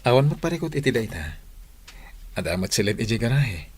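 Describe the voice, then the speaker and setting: A man's voice: medium pitch, big pitch swings, a little fast, breathy edge. A man playing a generous, easygoing husband in a Filipino audio drama, speaking reassuringly.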